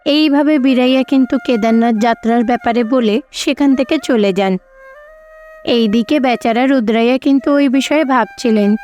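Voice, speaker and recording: A woman with a high voice narrates a scripted cartoon fairy tale. She works as the storyteller, with faint music behind her words. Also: moderate pace, a little mellow, muffled recording.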